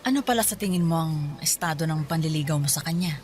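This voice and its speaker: A woman playing a caring middle-aged mother in a Filipino radio drama, questioning in a motherly, gently firm way. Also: sits low, fast, faint music behind, close to an everyday voice. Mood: teasing, curious.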